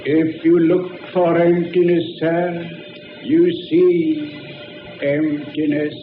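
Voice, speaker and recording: An actor playing an eerie, taunting spectre in an old radio horror play, speaking in a slow, ominous, declamatory way. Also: male voice, on the high side, little pitch movement. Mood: solemn. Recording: muffled old radio recording, echo, faint music.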